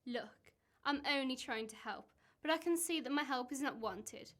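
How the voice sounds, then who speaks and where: A woman's voice: high voice, normal speed, natural voice. A woman in an amateur radio play acting a suspicious, exasperated wife, accusing and sarcastic.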